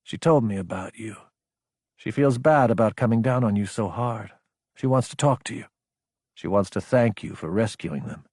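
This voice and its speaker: A man voicing a female military superior in an audiobook, gentle, understanding and firmly encouraging. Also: on the low side, lively intonation, normal speed, husky, no room sound, studio quality. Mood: soft, reassuring. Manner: gentle, reassuring. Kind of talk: audiobook character acting.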